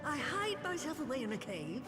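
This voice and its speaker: Grumbly old witch lady, game cutscene, pitch all over the place, big echoey hall. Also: very animated, normal speed. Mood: grumbling, sardonic. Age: older. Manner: sardonic, grumbling, theatrical. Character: crotchety old female sorceress hermit with a gruff heart. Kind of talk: video game cutscene acting. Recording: echoey reverberant hall, music behind.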